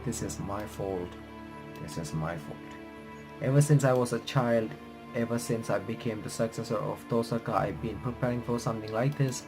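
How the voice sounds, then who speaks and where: Man reading game text aloud over music. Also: on the low side. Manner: halting reading with stumbles and self-corrections. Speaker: gaming reaction streamer. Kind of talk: reading visual novel text aloud with commentary. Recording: music playing behind the voice.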